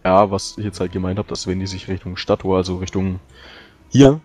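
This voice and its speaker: A man playing a tabletop game, casually talking tactics with quick agreements and restarts. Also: low-pitched, fairly even intonation, darkish tone, faint music behind. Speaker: tabletop player. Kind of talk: casual strategy discussion.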